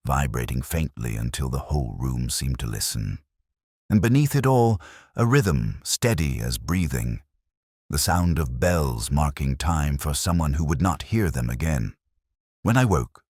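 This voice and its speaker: A man narrating a first-person literary mystery as a scripted audiobook, with a measured, literary delivery. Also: extremely low pitch, no room sound, clean studio sound.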